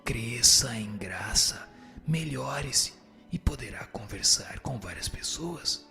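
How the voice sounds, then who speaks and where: A man voicing a solemn spirit who teaches in a measured, declamatory, didactic way in a radio drama. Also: medium pitch, expressive, vocal fry, pushed and bright, soft background music, full character voice. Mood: solemn, exhorting. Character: solemn male spirit answering questions and dictating teachings. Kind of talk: dramatized reading of a spiritist text.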